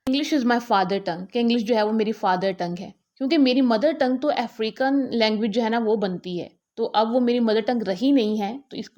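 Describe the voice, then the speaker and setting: Woman explaining a poem for an online class, mid-range voice, code-switches and slows down to quote. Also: medium pitch, darkish tone. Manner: mixes Hindi and English, frequent fillers; slows down to read quoted lines. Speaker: literature lecturer on a channel.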